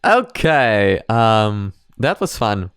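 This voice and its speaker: Guy doing casual reaction commentary, smooth clear voice, dry close sound. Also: fairly low pitch, sing-song, very slow, fairly bright tone, no room sound. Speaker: reaction video host.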